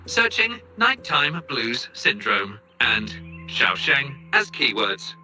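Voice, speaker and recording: A man's voice: mid-range voice, sing-song, takes it slowly, sharp tone, faint music behind, exaggerated voice, rough recording. A man voicing an earnest, literal-minded helper robot in a video game, announcing things formally like a computer. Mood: formal, procedural.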